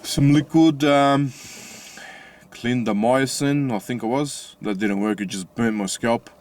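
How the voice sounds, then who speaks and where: An Australian man with a slightly mixed accent from his Italian family, talking casually from inside his car with many pauses and restarts. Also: medium pitch, a little mellow. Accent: Australian with Italian-family influence. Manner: halting, many fillers and restarts. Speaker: vlogger. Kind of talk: casual personal vlog.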